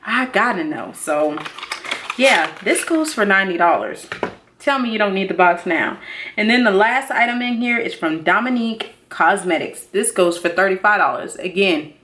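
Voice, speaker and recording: A woman in her thirties chatting casually and excitedly to viewers as a beauty vlogger, expressive and slangy, repeating herself for emphasis. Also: medium pitch. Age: 30s. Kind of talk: casual excited unboxing chat.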